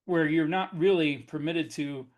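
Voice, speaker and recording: Higher-voiced man making his point. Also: a little slow. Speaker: podcast co-host, author. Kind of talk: casual chat.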